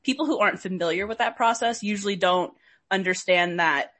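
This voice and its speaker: Young woman, podcast guest, husky voice, lively, lots of "like"s. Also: medium pitch, a little fast. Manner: lively, laughs often, many "like"s. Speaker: guest, doctoral student. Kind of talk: interview conversation.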